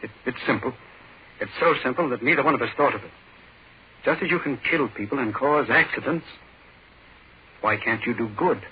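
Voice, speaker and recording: A man playing an agitated, commanding doctor with urgent, halting melodrama in a 1940s radio horror play. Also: medium pitch, husky. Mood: urgent persuasion. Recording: muffled, hissy 1940s radio recording.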